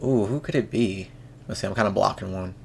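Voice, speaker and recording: A man's voice: on the low side, a little fast, gravelly, heavy background noise. A young man hosting a reaction video, talking casually and frankly, with lots of restarts and swearing. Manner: rambling, frank, swears freely, many restarts.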